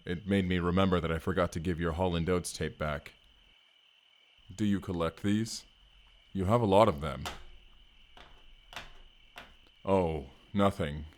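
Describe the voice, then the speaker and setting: An actor playing a hulking, earnest, gentle barbarian man in an audio roleplay, speaking formally and haltingly. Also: low voice, lightly acted. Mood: sheepish. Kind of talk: first-person audio roleplay acting.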